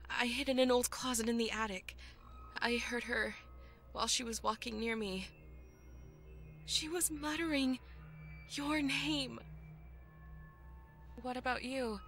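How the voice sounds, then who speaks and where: Casting: anxious friend on the phone in a game, forceful bright tone, urgent and concerned. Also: female voice, a little high, steady tone, a little slow, long pauses, faint music behind. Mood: worried. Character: anxious female friend calling by phone while hiding. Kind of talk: video game voice acting.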